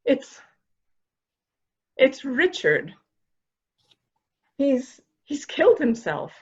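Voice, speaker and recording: A woman performs a composed, doubting lady in a mansion murder mystery. Her voice is breathy-rough and fairly high, with lively swings of pitch. The recording is dull and lo-fi. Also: extremely slow, full character voice. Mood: shocked. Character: composed, skeptical woman of a troubled mansion household. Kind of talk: dramatic reading of a murder mystery.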